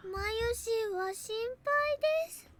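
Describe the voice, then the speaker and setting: Childlike anime game girl: extremely high, sweet, gentle, with a roomy echo. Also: moderate pace. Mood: sweet, worried. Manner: cutesy, gentle. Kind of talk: Japanese visual novel voice acting.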